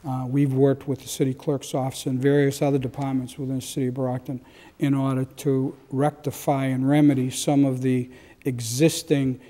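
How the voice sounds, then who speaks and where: A man in his sixties giving halting, semi-formal testimony to a council at a podium microphone. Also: medium pitch, little pitch movement, mellow. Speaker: real estate broker addressing a council. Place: large council chamber. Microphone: gooseneck microphone on a podium. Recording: reverberant council chamber.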